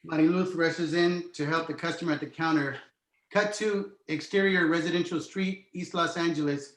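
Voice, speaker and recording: A man narrates a screenplay, reading out the stage directions. His voice sits a little high, and the room adds a clear echo. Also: fairly even intonation, normal speed, fairly bright tone. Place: indoor room on virtual call. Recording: echoey room.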